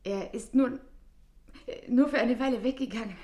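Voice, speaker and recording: Actress doing high voiced woman madly in love, radio drama, fragile, halting, very emotional. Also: a little mellow, lightly acted. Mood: nervous, polite. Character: fervent woman consumed by love, feverish, fragile. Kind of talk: German radio drama acting.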